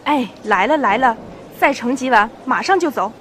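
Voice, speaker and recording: A young woman acting a thrifty, sharp-tongued working wife in an amateur student radio drama. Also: fairly high pitch, lively intonation, moderate pace, a little hiss or hum. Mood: busy, obliging. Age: young adult. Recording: loud music behind the voice. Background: music with violin.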